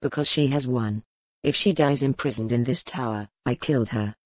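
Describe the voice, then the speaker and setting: A woman voicing a stern male duke who is master of his keep, speaking low and firm in an audiobook. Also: moderate pace, no echo. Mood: commanding. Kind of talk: audiobook character voice.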